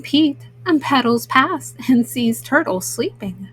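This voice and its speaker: A woman reading children's bedtime stories aloud as a storyteller, in a gentle, motherly way. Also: on the high side, lively intonation, takes it slowly, slight background noise, poor audio.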